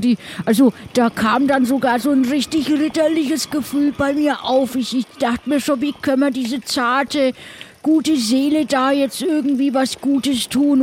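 A woman playing an earnest, chivalrous pirate adventurer, chatty and halting, in an improvised adventure audio play. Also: high-pitched, a little mellow, slight background noise. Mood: earnest, smitten.

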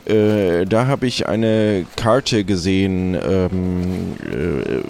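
Man as a nervous stuttering pirate sidekick, low-pitched, very slow and hesitant, audio adventure. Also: creaky, warm tone, a little hiss or hum, exaggerated voice. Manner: halting, stammering, hesitant. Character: nervous, stuttering, deferential male pirate companion.

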